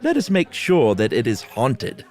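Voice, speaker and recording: A man voicing a stuffy, sceptical English gentleman, slow and emphatic, with a put-on British accent. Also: mid-range voice, wildly swooping pitch, soft background music, a faint crowd. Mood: stubborn, haughty. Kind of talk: amateur dramatic reading.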